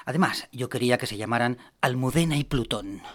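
An actor playing a vain, grumpy, bluffing music producer in a comedic radio drama, with a boastful and hesitant way of talking. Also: male voice, medium pitch, a little fast, fairly bright tone, lightly acted. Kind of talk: comedic radio fiction dialogue.

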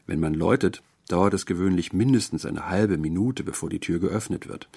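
A man reading a literary short story as a narrator, with measured, carefully articulated delivery. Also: low voice, creaky, mellow, husky. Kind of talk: scripted literary audiobook narration.

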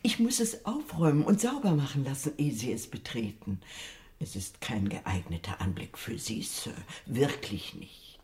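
An actress playing a fussy, deferential old housekeeper in a radio play, her voice breathy and wavering. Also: rumbling low, lively intonation, normal speed, darkish tone. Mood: flustered, fussing. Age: older. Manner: fussing, servile. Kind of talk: German radio drama acting.

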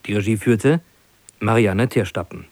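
A man announcing on the radio in a formal, scripted style with measured phrasing. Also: lowish voice, a little mellow, no echo. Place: dry broadcast studio.

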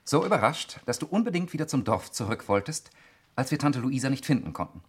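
An actor playing a cool, sardonic, probing man in a 1960s German radio play, deliberate and insinuating in manner. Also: medium pitch, very animated, husky. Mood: accusing. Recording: faintly noisy 1960s radio recording.

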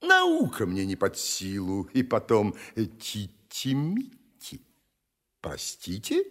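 A man playing a pompous, wistful gentleman passenger in an audio play, speaking in a hesitant, affected, high-flown way. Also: huge pitch swings, slow, big-room sound, full character voice.

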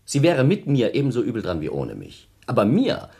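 A man's voice: medium pitch, huge pitch swings, brisk, vocal fry, warm tone, breathy edge, exaggerated voice. An actor playing a callous, self-pitying man of thirty in a German radio play, haughty, theatrical and dismissive. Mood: bitter, self-justifying. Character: cold, self-pitying thirty-year-old man, callous scornful former lover.

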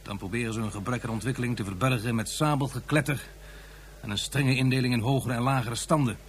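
A man's voice: medium pitch, quick pace, a little hiss or hum, close to an everyday voice. An actor playing a dry, lecturing male aide in a radio play, matter-of-fact in manner.

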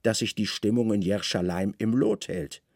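A man as sly, courteous spymaster, quietly creaky. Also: fairly low pitch, expressive, moderate pace, no room sound, studio quality, voice pushed down. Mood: careful, courteous. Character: sly, good-natured, deferential Roman spymaster guest. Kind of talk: literary radio drama.

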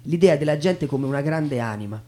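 A man's voice: on the high side, lively intonation, a little fast. A man narrating in a theatrical spoken storytelling style, as in a stage show. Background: soft guitar music.

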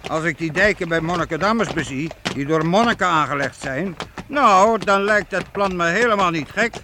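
Hearty guild master, noisy recording. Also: male voice, sits high, expressive, normal speed. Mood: earnest, fatherly. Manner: jovial, folksy, exclamatory. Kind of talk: radio play acting. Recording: noisy old radio play recording.

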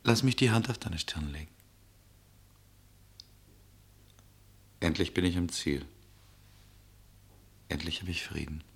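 Low, husky, yearning male traveller. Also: lively intonation, normal speed, heavily acted. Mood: tender, earnest. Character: weary, yearning male traveller, tender and searching. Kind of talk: radio play acting.

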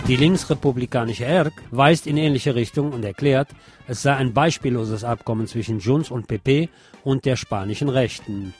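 A man's voice: mid-range voice, a little mellow. Man reading radio news over music. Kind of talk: scripted local news reading.

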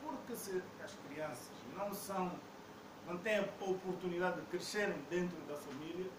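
A man, making measured, explanatory points at a public debate. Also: high voice. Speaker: debate participant. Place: debate hall indoors. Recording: distant and echoey with background noise.

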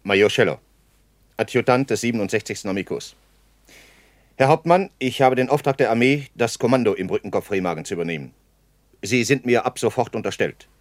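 An actor playing a brusque, commanding German army major in a 1960s radio play, speaking in clipped military manner. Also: male voice, mid-range voice, lively intonation, fairly quick, no room sound, natural voice. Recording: dry early 1960s radio studio.

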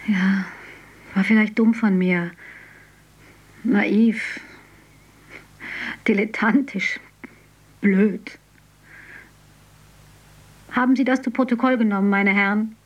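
A slow, bitter confessing woman. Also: mid-range voice, steady tone, highs cut off. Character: young woman confessing to police. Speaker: narrator testifying. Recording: distant mic.

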